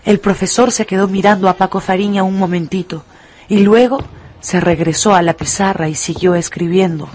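A woman narrating a dramatised radio story in an expressive, deliberate, emphatic way. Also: medium pitch.

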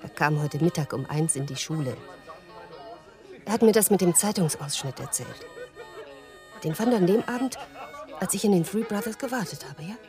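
A woman's voice: fairly low pitch, lively intonation, moderate pace, long pauses, mellow, soft background music, natural voice. A woman playing a doubting, earnest lover in a German radio drama, probing and restrained.

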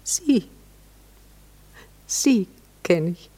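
An actress playing a proper married lady in a German radio play, guarded, arch and coolly mocking, quick to reprove. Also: mid-range voice, lively intonation, warm tone, breathy edge, full character voice. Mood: arch, reproachful.

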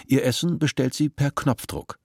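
A man narrating a radio drama in an even, measured storytelling voice. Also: mid-range voice, creaky, husky, no room sound, clean studio sound. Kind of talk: scripted narration.

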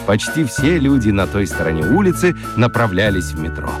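Male narrator, animated intonation, expressive children's storytelling, theatrical emphasis, dramatic pauses, music underneath. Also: on the low side.

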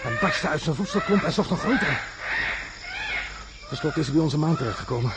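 Guy acting delirious astronaut losing it in a radio play, repeats himself, really animated, loud crickets behind. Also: on the high side, brisk, close to an everyday voice. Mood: mounting obsession. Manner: obsessive, raving, repeats himself. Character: delirious, frantic male astronaut stranded in jungle, obsessed. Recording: radio drama with loud insect noise. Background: jungle crickets and insects.

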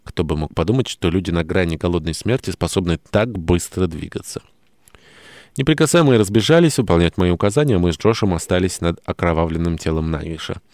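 A man's voice: sits low, brisk, a little mellow, no echo, studio quality. A man reading a scripted first-person story as an audiobook narrator, acting out the dialogue as he goes.

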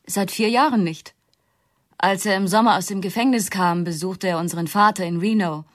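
A woman's voice: medium pitch, normal speed, close to an everyday voice. An actress playing a weary young mother in a radio play who speaks in a tense, guarded way. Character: weary young mother, fearful of her brother.

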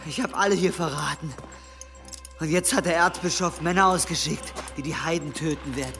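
A young penitent monk, high-ish and gravelly, desperate with guilt. His voice rings in a long reverb, as in a radio play. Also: fairly quick, pushed and bright. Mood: remorseful, confessing. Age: young adult. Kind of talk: German audio drama acting. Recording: echoing hall reverb, music behind.

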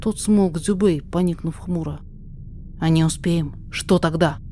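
A woman narrating a fan audio story in rhymed verse, with a measured, theatrical recitation. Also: lowish voice, slight background noise. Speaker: narrator and reader of a verse podfic. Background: soft instrumental music.

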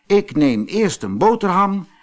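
A man voicing a lazy, excitable male ant in a children's storybook, with a dramatic manner. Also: high voice, a little slow, voice pushed up. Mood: carefree.

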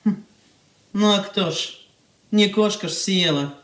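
An actress in a radio drama playing a blunt family nanny, dour, grumbling and reproachful. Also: female voice, mid-range voice, slow pace, lightly acted. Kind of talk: Russian stage-style radio drama acting. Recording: distant, echoey studio pickup.

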